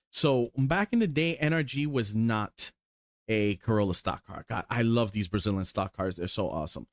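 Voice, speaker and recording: Man, 40s, casual rambling streamer, excitable, salty language, dry close sound. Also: lowish voice, normal speed, no echo. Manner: rambling, excited, swears casually, lots of ums. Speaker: sim racing streamer. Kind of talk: casual chat while showing cars.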